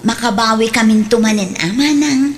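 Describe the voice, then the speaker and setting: A woman's voice: a little high, normal speed, close to an everyday voice. A woman playing an earnest, persevering younger sister who sells at the market, in a radio drama. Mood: earnest, hopeful.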